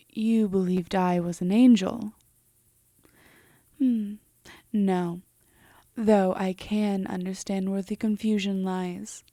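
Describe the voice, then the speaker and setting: A woman playing a composed, teasing, possessive female demon in a roleplay audio drama, speaking in a measured, softly haughty way that slips into whispers. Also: medium pitch, dry recording, studio quality. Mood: cool, haughty. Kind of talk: roleplay audio drama, intimate slow delivery.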